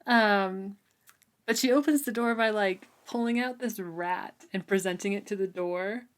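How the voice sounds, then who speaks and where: A woman's voice: medium pitch, a little slow. A woman co-hosting a casual book club podcast, rambling and laughing often, with plenty of "um" and "like". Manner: giggly, rambling, many "um"s and "like"s. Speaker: podcast co-host.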